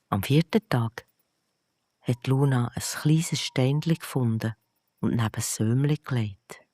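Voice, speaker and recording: A storyteller with a Swiss German accent narrating a scripted bedtime story for children in a gentle, deliberate manner. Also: medium pitch, dry recording, studio quality.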